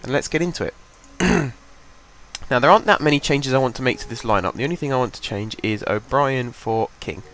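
A young man speaks close to a desk microphone, talking through his game in an easy, rambling way. He has a cold, so his voice sounds a bit stuffy and congested. His pitch sits in a middle range, and his delivery is loose and full of fillers. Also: brisk, fairly bright tone, faint music behind. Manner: chatty, rambling, thinks aloud with fillers. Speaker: gaming channel host. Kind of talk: casual game commentary. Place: home desk.

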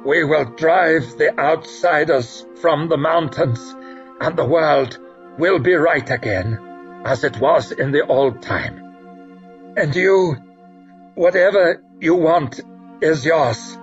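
A man voicing a wounded male zealot with a croaking voice, fervent and pleading. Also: on the high side, slow pace, dull audio, soft background music, voice pushed up. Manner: urgent, pleading.

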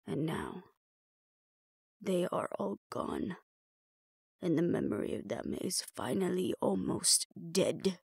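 Woman acting a slow, grudging vampire elder. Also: lowish voice, very animated, gravelly, a little mellow, slightly rough, dry recording, studio quality, full character voice. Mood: solemn, defiant. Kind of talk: audio roleplay acting.

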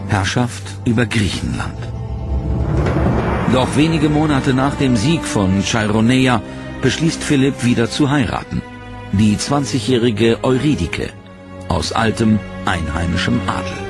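A man narrating a history documentary from a script, in a measured, dramatic way. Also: mid-range voice, lively intonation, gravelly, music in the background.

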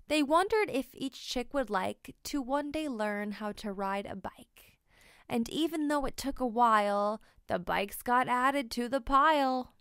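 Slow, clear woman reading a children's storybook. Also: on the high side, fairly bright tone, dry recording, clean studio sound. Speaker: storybook reader. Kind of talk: scripted children's read-aloud narration.

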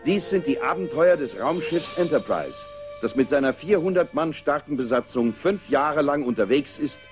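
A man's voice: fairly high pitch, lively intonation, normal speed, over music, natural voice. A man narrating the opening of a science fiction TV series as a dramatic starship chronicler. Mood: solemn, epic. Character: male starship chronicler announcing space adventures. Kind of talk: dramatic scripted TV intro narration.

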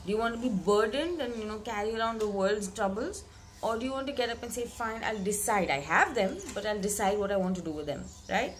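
A young woman, slightly hoarse from a cold, giving a reflective weekly tarot reading to camera in a small room, often repeating words for emphasis. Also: mid-range voice, brisk, slight background noise. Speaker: tarot reader. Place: bedroom with curtained window, indoors.

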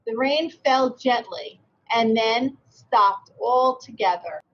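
Higher-voiced woman on a dull video call. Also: lively intonation, slow, fairly bright tone. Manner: simple, encouraging, conversational. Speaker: read-aloud host, educator. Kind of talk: storybook reading and friendly discussion. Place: large meeting room indoors. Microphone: video call, distant from camera. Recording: dull video-call audio, faint noise.

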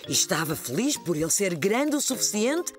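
A woman narrating a children's cartoon story as a gentle storyteller, with a European Portuguese accent. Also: mid-range voice, big pitch swings, moderate pace, close to an everyday voice. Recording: music behind the voice.

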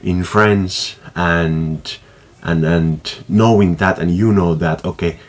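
A man in his thirties with a Finnish accent, talking casually to camera at home in halting speech full of fillers and restarts. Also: deep voice, fairly even intonation. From Finland. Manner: halting, many fillers and restarts, gestures with hands. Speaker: vlogger. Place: small room at home with bookshelf, indoors.

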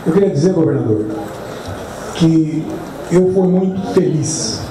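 A man from Brazil gives a formal farewell speech as outgoing health secretary, measured, with slow, stretched phrases. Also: fairly high pitch, lively intonation. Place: large crowded hall, indoors. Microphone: far camera in the crowd. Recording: echoey hall heard from far off, noisy crowd.

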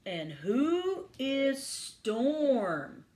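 A woman's voice: sits high, very animated, very slow, darkish tone, exaggerated voice. A woman voicing a sleepy, indifferent barn cat in a storybook, murmuring and yawning. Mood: drowsy disdain.

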